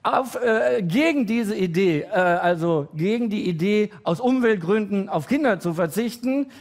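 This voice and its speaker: A man in his forties doing a stand-up comedy routine with halting, hesitant delivery and deadpan timing, full of pauses and fillers. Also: sits high. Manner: deadpan, growing excited and loud toward the end.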